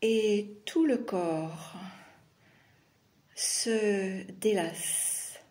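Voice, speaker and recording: A woman teaching yoga nidra, guiding a meditation in short, soothing phrases with long pauses. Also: mid-range voice, darkish tone. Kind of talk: guided meditation.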